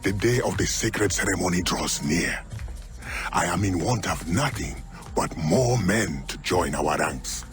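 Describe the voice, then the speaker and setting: An actor playing a solemn, commanding cult leader in a video game, speaking in a grand, formal way. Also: male voice, low-pitched, animated, unhurried, music in the background.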